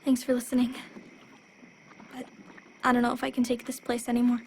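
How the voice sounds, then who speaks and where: A woman's voice: on the high side, completely flat delivery, a little fast, a little mellow, a little hiss or hum, close to an everyday voice, low-quality recording. A woman voicing a stubborn, wounded young girl in a video game, earnest and emotional. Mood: hurt, bitter. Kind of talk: video game dramatic acting.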